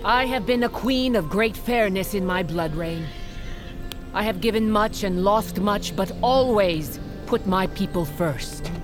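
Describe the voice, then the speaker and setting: A woman's voice: medium pitch, measured pace, music in the background, close to an everyday voice. A woman playing a proud, merciless queen and mother in an audio drama, solemn and declamatory. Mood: solemn, imperious. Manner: stately, declamatory. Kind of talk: audio fiction drama acting.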